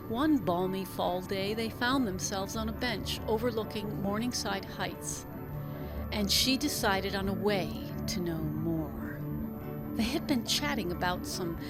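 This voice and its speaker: A woman reading a literary story aloud as an audiobook narrator, in scripted narration. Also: mid-range voice.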